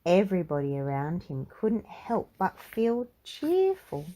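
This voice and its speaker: Perempuan pembaca cerita anak, tempo pelan. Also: female voice, fairly low pitch. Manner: expressive, measured read-aloud for children. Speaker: children's book reader. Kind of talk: scripted storybook narration.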